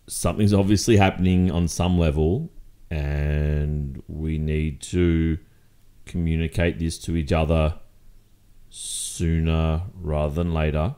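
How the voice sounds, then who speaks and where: A man with an Australian accent acting a jealous, exasperated boyfriend confronting his partner in a conversational roleplay monologue, halting and insistent. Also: very deep voice, warm tone, clean tone. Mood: restrained, exasperated.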